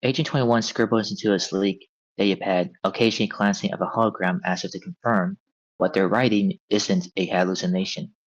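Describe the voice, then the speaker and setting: A man's voice: deep voice, fairly even intonation, brisk. A man narrating stage directions from a script at a table read. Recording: dull, lo-fi audio.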